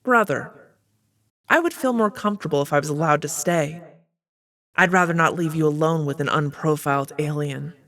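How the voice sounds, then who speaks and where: A woman playing an aloof, guarded royal she-wolf who speaks in a measured, formal way in a visual novel dub. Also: sits low, a bit bright. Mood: formal, uneasy.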